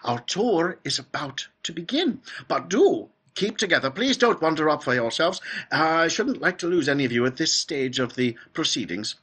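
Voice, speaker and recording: An eccentric, clever old gentleman from a children's storybook, bubbles over with delight. The voice is high, fluty and bright, leaping about in pitch. He gushes and repeats himself as he welcomes his guests. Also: animated, normal speed, hardly any pauses, husky. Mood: effusive, bustling. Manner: gushing, exclamatory, repeating himself. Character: excitable, clever old male factory owner, effusively welcoming.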